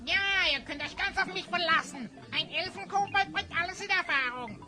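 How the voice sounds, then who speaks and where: A performer playing a cheeky, bragging little elf goblin with a squeaky voice in a fantasy audio drama. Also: normal speed, over music. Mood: cocky. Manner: pert, bragging.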